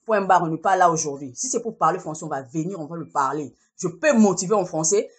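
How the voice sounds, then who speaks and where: A woman's voice: lowish voice, animated, fast talker, husky. A woman, delivering a heated, scolding social media rant and repeating herself to drive points home. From Congo.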